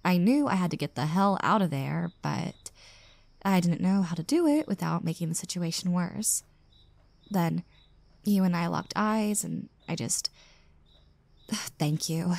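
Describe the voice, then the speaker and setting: A woman's voice: on the low side, expressive, slow pace, creaky, long pauses, darkish tone, no room sound, heavily acted. A woman playing an exasperated single young woman venting about a bad date in an intimate audio roleplay, with sarcastic asides. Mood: relieved, sheepish. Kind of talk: roleplay audio drama, intimate conversational monologue.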